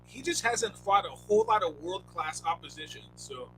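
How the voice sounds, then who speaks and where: A man co-hosting a casual sports podcast, talking expressively with sharp jumps in pitch on key words. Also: animated, moderate pace. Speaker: podcast co-host. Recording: noisy line with hum.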